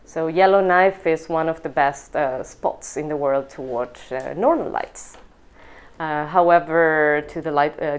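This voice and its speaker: A woman's voice: low voice, creaky. A middle-aged woman from Chile, a native Spanish speaker with a Chilean accent, giving a personal interview account in a reflective, hesitant way. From southern Chile. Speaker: lighting designer and team leader.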